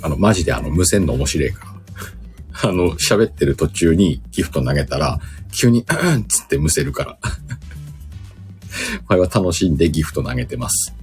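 A man's voice: very deep voice, expressive, normal speed, darkish tone, faint music behind. A man hosting a casual livestream chat, speaking informally in slang and often chuckling. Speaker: livestream host.

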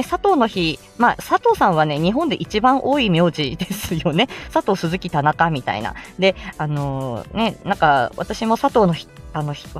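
A woman hosts her casual morning audio show alone over soft background music. Her pitch sits in a middle range for a woman. She rambles through announcements in a giggly, self-deprecating way, hesitating as she goes. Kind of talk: casual solo chat with announcements.

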